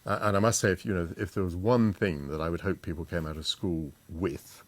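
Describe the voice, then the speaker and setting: A man speaking as a TV panelist in a measured, considered way. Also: sits low, fairly even intonation. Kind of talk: studio discussion.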